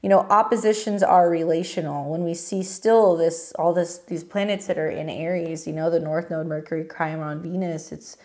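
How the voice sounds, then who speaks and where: Woman with a cold-roughened voice. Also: fairly low pitch, fairly even intonation, moderate pace, hardly any pauses, mellow. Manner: rambling, lots of fillers and restarts. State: hoarse from a cold. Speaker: astrology channel host. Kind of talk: casual weekly forecast talk.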